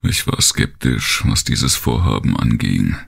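A man reading a dark first-person thriller audiobook as narrator, with a deep, gravelly, husky voice. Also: animated, measured pace.

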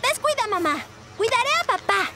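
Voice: very high voice, animated, moderate pace. An actor playing a sensible, confident, chirpy little girl in a children's cartoon dub, with a Latin American accent. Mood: cheerful. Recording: loud music behind.

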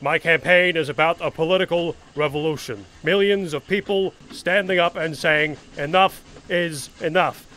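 An actor playing an impassioned older senator in a comedic radio play, speechifying insistently. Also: male voice, fairly high pitch, moderate pace, sharp tone. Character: impassioned older male progressive senator impression.

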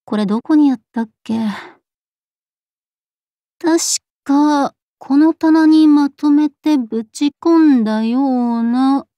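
A woman voicing a laid-back, blunt tomboyish gunner in a game, with rough, boyish, offhand speech. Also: high-pitched, slow pace, no room sound, muffled recording. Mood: offhand, breezy. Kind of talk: Japanese game character voice acting.

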